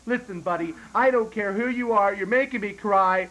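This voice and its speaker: Guy putting on a high whiny little kid voice, sulky, keeps complaining. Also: normal speed, a bit bright, poor audio. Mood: hurt. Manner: whining, complaining, repeats himself. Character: whiny childish boy, sulky and touchy. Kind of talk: improvised comedy sketch.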